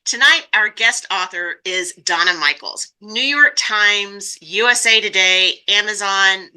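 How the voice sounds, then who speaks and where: Woman podcast host doing an author interview, super bright sharp voice, upbeat n chatty. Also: mid-range voice, unhurried, clear, resonant tone, rough recording. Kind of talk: book club podcast interview.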